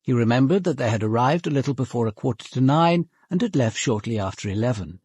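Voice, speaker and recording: An actor playing a methodical, composed British police detective narrating an investigation in a radio drama, with probing questions. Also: male voice, mid-range voice, lively intonation, fast talker, a little mellow, husky, no echo, studio quality.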